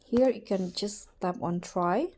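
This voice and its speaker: Mellow-voiced woman hosting a tutorial. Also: mid-range voice, measured pace, a little hiss or hum. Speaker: tutorial host. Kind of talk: casual how-to screen tutorial narration.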